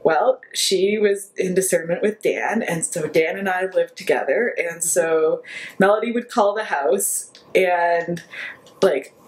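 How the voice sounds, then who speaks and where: A woman's voice: on the low side, slow pace, rough recording. A woman talking casually in an interview about her friendship with a coworker, chatty and full of fillers. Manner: chatty, uses lots of "like" and "so". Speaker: campus minister supervisor.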